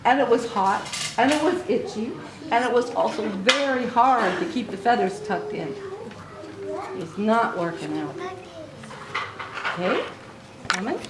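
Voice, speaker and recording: A woman reading a story aloud to young children in an expressive way, with little asides to her listeners. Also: a little high, a little slow, pauses often. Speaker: children's author reading own book aloud. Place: book signing event in an echoey room. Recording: echoey room recording with clear noise.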